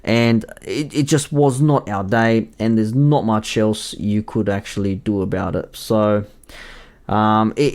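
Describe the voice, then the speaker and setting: A young man hosting a solo football preview podcast, his voice hoarse and husky from yelling in a bar, rambling with lots of fillers and self-corrections. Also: fairly low pitch. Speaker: podcast host.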